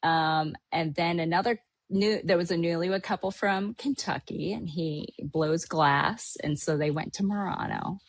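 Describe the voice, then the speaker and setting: A middle-aged woman from Hawaii tells a casual, rambling story as a podcast host, full of fillers and false starts. Her voice sits a little low, and the sound is dry and close. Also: measured pace, no room sound. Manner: chatty, rambling with many fillers and restarts. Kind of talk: casual chat recapping a trip.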